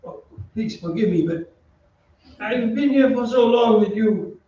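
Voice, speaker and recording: A man's voice: high voice, a little slow, mellow. A man playing a timid, anxious aging violinist in a radio drama, hesitant, deferential and apologetic in his speech. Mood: nervous, deferential. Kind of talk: old-time radio drama acting. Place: theatre stage, live reading. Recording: echoey stage, distant mic, muffled, hiss.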